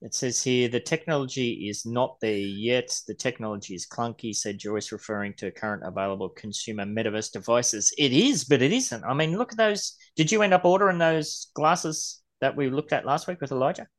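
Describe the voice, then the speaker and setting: A man's voice: mid-range voice, animated, fairly quick, few pauses. A man in his forties co-hosting a podcast, casually reading news articles aloud and riffing on them. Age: 40s. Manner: reads quotes aloud then riffs on them. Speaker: podcast co-host.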